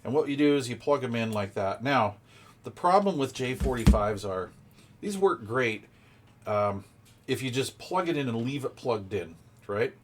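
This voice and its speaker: A man's voice: medium pitch, expressive, normal speed, slight background noise. A man giving a casual, hands-on unboxing commentary, explaining details informally and thinking aloud as he goes. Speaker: product reviewer.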